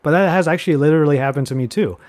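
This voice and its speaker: A man's voice: fairly high pitch, racing, a little mellow. A man from Los Angeles with a Californian accent, talking casually as a podcast co-host in an informal, slangy, emphatic way. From Los Angeles, United States. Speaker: podcast co-host and tech content creator. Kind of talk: casual chat with animated anecdotes.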